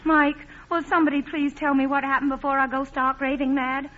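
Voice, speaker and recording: An actress playing a spirited, haughty society bride in old-time radio drama, with theatrical, imperious delivery. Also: female voice, high voice, flat delivery, a little fast, close to an everyday voice. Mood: bewildered, exasperated. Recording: muffled, noisy vintage radio broadcast recording.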